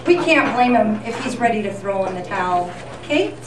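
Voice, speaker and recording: A woman's voice: medium pitch, lively intonation, moderate pace. Woman co-host, roomy and distant sound. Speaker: co-host of a live radio-themed tribute show. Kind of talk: scripted, tongue-in-cheek old-time radio news narration. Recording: distant echoey live event, noisy.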